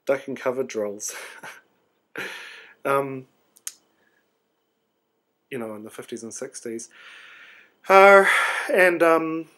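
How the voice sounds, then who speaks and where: A man's voice: medium pitch, animated, takes it slowly, pauses often, fairly bright tone. A man, an artist rambling through a sleepy, hesitant video diary full of ums and restarts. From New Zealand. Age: 30s.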